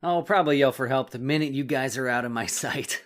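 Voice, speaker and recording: A bold young policeman played by a man in an old-time radio play. His mid-pitched voice is eager and jokey, full of colloquial swagger. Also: fairly quick, fairly bright tone, lightly acted. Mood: joking, bold.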